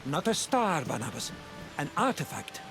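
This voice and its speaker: An earnest older scholar for a video game cutscene. His delivery is grave yet very animated, pitched a little high, with loud music behind. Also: moderate pace. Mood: grave, concerned.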